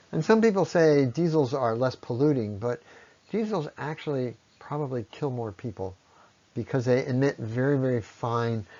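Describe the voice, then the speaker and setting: A man giving an explanatory science lecture in a measured way, pausing often and letting his phrases trail off at the ends. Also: mid-range voice, mellow. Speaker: presenter on ecology. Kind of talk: explanatory lecture on environmental impact. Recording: dull, lo-fi voice recording.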